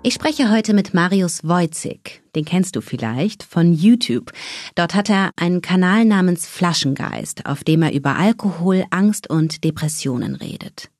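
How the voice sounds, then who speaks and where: Low-voiced woman podcast host. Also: lively intonation, measured pace, a little mellow, no room sound, studio quality. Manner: clear, scripted introduction and short prompting questions. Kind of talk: podcast interview.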